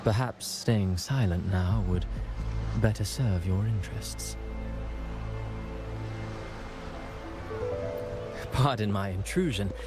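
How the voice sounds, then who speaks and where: An actor playing a courtly, ingratiating playwright in a video game, with a polite, florid storytelling manner. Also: male voice, lowish voice, a little slow, natural voice. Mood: courteous, suave. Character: courtly, theatrical male playwright, suave and ingratiating. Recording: music behind the voice.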